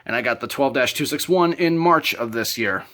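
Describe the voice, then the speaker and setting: A man's voice: mid-range voice, lively intonation, normal speed, sharp tone. A man presenting his hobby collection in a casual show-and-tell style, talking from home.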